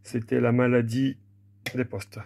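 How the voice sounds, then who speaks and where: A man's voice: mid-range voice, flat delivery, a little slow, vocal fry, a little mellow, slight background noise. A man informally presenting a hands-on technical demonstration, explaining plainly and a little hesitantly, without a script. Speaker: hobbyist presenter demonstrating old equipment.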